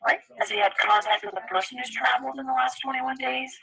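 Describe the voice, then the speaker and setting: Bright, husky call-taker woman on a phone. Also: high-pitched, fairly even intonation, quick pace. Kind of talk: scripted emergency questioning and instructions.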